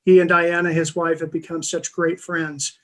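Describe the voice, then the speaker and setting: Halting, earnest man with a higher voice. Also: level tone, fairly bright tone. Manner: halting, earnest, frequent pauses. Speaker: award recipient. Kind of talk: unscripted acceptance speech. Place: video call from an indoor room. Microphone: video call microphone.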